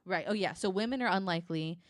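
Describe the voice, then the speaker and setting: A woman recounting true crime cases in a conversational, halting and informal way, hedging as she works from notes. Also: on the low side, dry recording. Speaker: podcast guest.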